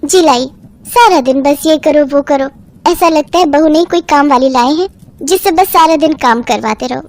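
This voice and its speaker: A woman's voice: high-pitched, moderate pace. A woman voicing an overworked, resentful young daughter-in-law who grumbles in a whiny way. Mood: resentful grumbling. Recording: background music under the voice.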